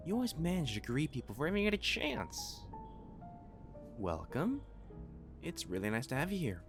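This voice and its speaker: A man playing a calm, thoughtful catboy cafe waiter in an ASMR roleplay, talking gently and reassuringly to the listener. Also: mid-range voice. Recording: music behind the voice. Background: clear background music.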